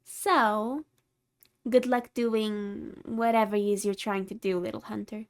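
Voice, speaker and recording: A woman's voice: medium pitch, fairly even intonation, measured pace, slight background noise, natural voice. A woman playing a haughty, secretly caring tsundere vampire in a scripted ASMR roleplay, condescending and easily exasperated. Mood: irritated, condescending. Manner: condescending, exasperated, flustered scolding with sighs.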